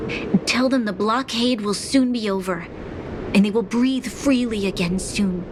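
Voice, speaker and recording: A woman voicing a dutiful young female leader in a game cutscene, with a grave, measured, formal delivery. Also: medium pitch, noisy background, natural voice. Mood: earnest. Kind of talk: video game cutscene voice acting.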